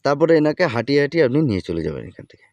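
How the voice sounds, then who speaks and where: A man's voice: medium pitch, big pitch swings, racing, a little mellow, no echo, lo-fi sound. A man hosting a casual, step-by-step app tutorial, often checking in as he instructs. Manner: repeatedly checks in while instructing. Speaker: tutorial host.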